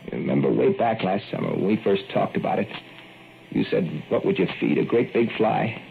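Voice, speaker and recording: An actor playing a gruff, secretive small-town handyman who speaks tersely in a gravelly rural voice, in an old radio drama. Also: lowish voice, animated, moderate pace, slight background noise. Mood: uneasy. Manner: terse, hushed, rural dialect. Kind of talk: 1940s radio acting. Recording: tinny old radio broadcast, faint music.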